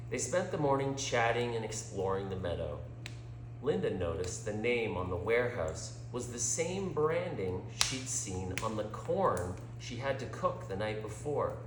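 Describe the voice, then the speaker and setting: A man reading a scripted story aloud in a deliberate, dramatic narrating style with pauses. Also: medium pitch. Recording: roomy echo with clear background hum.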